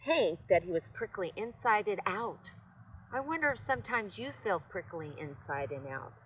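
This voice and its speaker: An older woman reads a children's story aloud, gently pausing to talk to her young listeners. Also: fairly low pitch, slightly rough, highs cut off. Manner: gentle, unhurried, addressing children.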